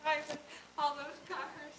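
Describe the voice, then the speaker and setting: A woman's voice: high voice, level tone, slow pace, a bit bright. A woman in the audience commenting casually, picked up away from the microphone in a conference room. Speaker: audience member. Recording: noisy, off-mic from the audience.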